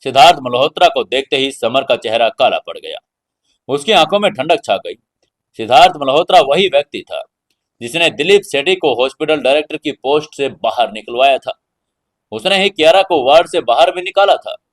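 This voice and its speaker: A man's voice: medium pitch, lively intonation, brisk. A man hosting and narrating an audio story in a dramatic storytelling style.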